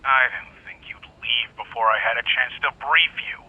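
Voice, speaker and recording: An actor playing a male police detective who talks on the phone in a flat, guarded, matter-of-fact way. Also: medium pitch, moderate pace, slightly rough, no room sound, narrow, tinny audio, close to an everyday voice. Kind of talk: audio drama acting.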